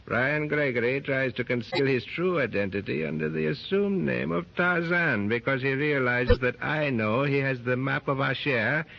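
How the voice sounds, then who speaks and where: Guy doing a cold bossy villain, gravelly, old muffled radio play sound. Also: mid-range voice, moderate pace, few pauses, lightly acted. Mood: commanding. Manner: cold, commanding, menacing. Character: scheming cunning male villain, commanding. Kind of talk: 1930s radio drama acting. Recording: muffled 1930s radio recording.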